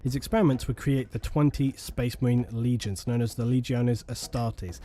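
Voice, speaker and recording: A man giving scripted documentary narration on lore in a measured, solemn manner. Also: medium pitch, fairly even intonation, warm tone, a little hiss or hum. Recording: faint music bed behind.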